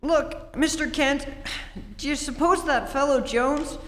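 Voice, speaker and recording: Woman as earnest young boy sidekick, high swooping voice, pleading, old-time radio drama, echoey hall. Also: measured pace, slight background noise. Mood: earnest, puzzled. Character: Robin, earnest upset teenage boy sidekick. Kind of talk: 1940s radio acting. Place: theatre stage before an audience. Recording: echoey hall, live stage performance.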